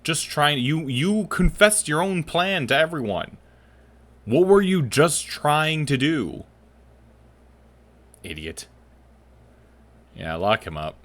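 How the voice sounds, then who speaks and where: A man's voice: on the high side, very animated, takes it slowly, long pauses, a bit bright. A man hosting a gaming video with casual, sarcastic running commentary. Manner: sarcastic, exasperated reactions. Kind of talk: casual let's play commentary.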